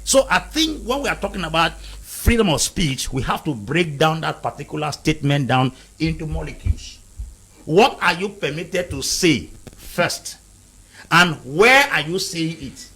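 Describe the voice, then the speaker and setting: A man's voice: a little high, expressive, moderate pace, sharp tone, breathy edge, poor audio. A man from Cameroon arguing emphatically as a panel guest in a heated debate, repeating and driving home his points. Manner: emphatic, repetitive, lecturing.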